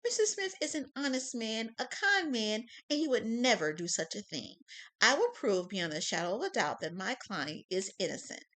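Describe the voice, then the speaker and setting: Woman reading a confident lady defense lawyer, firm and animated. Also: a little high, moderate pace. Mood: assured.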